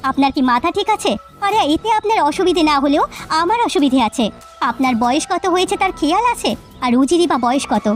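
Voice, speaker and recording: A woman voicing an indignant older village wife in an animated folk tale, reproachful and protesting. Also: very high-pitched, fairly quick, soft background music.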